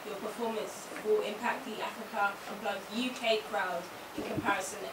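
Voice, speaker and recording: A woman's voice: mid-range voice, steady tone, normal speed. Woman reporter in a very noisy room. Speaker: radio reporter asking a question. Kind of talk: press conference question. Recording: distant, echoey, very noisy room.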